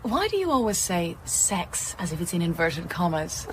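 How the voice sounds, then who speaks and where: A woman's voice: lowish voice, sing-song, moderate pace, slight background noise. A woman playing a tart, teasing book club member in a naturalistic radio drama.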